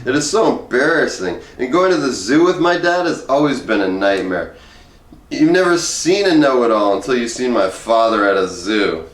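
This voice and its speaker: A man's voice: mid-range voice, expressive, moderate pace. A man talking casually and reading a humorous essay aloud in an informal, conversational way. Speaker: host reading aloud from a book. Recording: roomy echo, distant from the mic.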